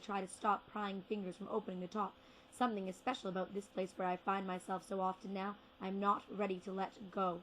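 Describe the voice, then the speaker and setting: A young woman performing spoken word poetry with deliberate, rhythmic phrasing and repeated words. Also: mid-range voice, fairly bright tone, slight background noise, poor audio. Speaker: slam poet.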